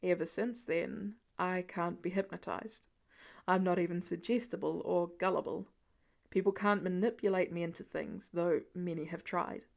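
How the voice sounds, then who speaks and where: A woman voicing a weary, gentle middle-aged male archer with an earnest, reassuring, plain-spoken manner in an audiobook story. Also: lowish voice, normal speed, gravelly, pitched below the performer's own voice. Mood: steady, reassuring.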